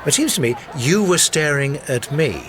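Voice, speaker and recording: An urbane, arch man of about fifty played by a male actor in a radio play, coolly menacing and amused. His delivery swoops expressively over clear crowd noise. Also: on the high side, animated, normal speed, natural voice. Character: suave fifty-year-old male stranger, cold, amused, menacing. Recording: noisy outdoor crowd ambience behind.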